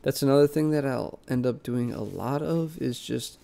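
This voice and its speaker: Young male vlog host with a head cold, nasal and gravelly, relaxed rambling delivery. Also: mid-range voice, moderate pace. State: congested, sick and nasal. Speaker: plant channel host. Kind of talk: casual voice-over vlog narration. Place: basement plant room.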